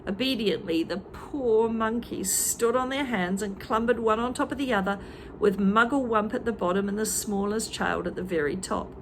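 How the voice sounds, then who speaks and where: A teacher reading a children's book aloud, with chatty asides to young listeners. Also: female voice, medium pitch, normal speed, slight background noise, poor audio.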